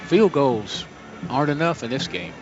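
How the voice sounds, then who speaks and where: An American man offers color commentary on a football game. His voice is middle in pitch. The radio recording is dull and lo-fi, with music clearly behind the talk. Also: expressive, moderate pace. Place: stadium radio booth. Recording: dull lo-fi radio, loud background bed.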